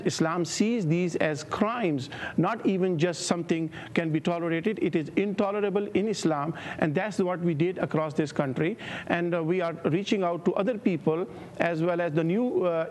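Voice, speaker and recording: A man's voice: on the high side, a little mellow. A man in his sixties from Pakistan, an imam speaking emphatically on a televised panel, often repeating words. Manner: emphatic, repeats words, rising when interrupted. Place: remote studio with city backdrop. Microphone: lapel.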